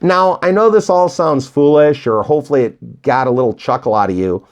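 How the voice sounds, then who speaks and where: A man's voice: medium pitch, a little fast. A man coaching beginners in a motivational tutorial style, with a folksy, emphatic manner, rhetorical questions and little acted-out examples. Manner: animated, acts out imagined dialogue; folksy, encouraging. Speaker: real estate investing coach. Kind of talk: motivational tutorial talk to viewers.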